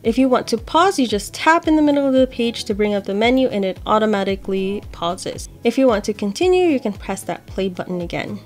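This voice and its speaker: A woman's voice: medium pitch, animated, brisk, hardly any pauses, faint music behind. Young woman giving a casual tutorial. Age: young adult. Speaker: host of accessibility tutorial videos. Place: small home office, indoors.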